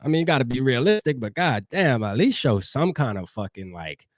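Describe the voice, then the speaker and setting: A man's voice: mid-range voice, big pitch swings, a little fast, no room sound, studio quality. A man from New Jersey hosting a fan show, talking loosely and profanely straight to his live chat. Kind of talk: casual livestream sports talk.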